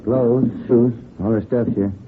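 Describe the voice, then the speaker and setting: An actor playing a plainspoken, matter-of-fact police detective partner in a 1950s radio drama. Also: male voice, medium pitch, fairly even intonation, a little slow, close to an everyday voice. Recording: muffled, noisy vintage radio recording.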